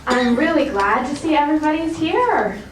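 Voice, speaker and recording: A woman's voice: high voice, lively intonation, normal speed. A woman hosting a public event, upbeat and welcoming as she explains the proceedings. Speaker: event host introducing speakers. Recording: echoey hall, distant mic, background noise.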